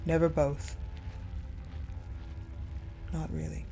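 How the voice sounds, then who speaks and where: A woman playing a cold, possessive female general in an intimate audio roleplay monologue, speaking in a hushed, deliberate way with pauses. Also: low-pitched, sing-song, full character voice. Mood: cold, resolute. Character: cold, possessive ice general confiding in her captive beloved. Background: music.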